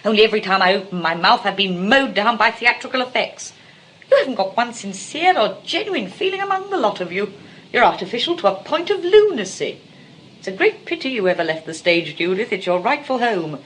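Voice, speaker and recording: A woman's voice: mid-range voice, big pitch swings, brisk, a bit bright, rough recording. An actress playing a blunt, exasperated woman house guest, scathing and articulate, in stage comedy. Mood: furious, scathing. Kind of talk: theatrical stage comedy acting.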